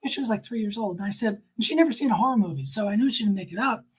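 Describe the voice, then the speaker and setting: Middle-aged female, earnest testimonial storyteller, lightly husky tone, conversational and emphatic. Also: mid-range voice, lively intonation, rapid-fire.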